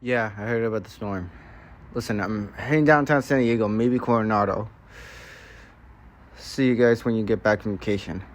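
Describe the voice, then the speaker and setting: A man's voice: on the low side, normal speed, long pauses, lightly acted. A man playing a worried, casual, sweary man fleeing a storm in an amateur scenario skit. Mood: uneasy. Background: loud music.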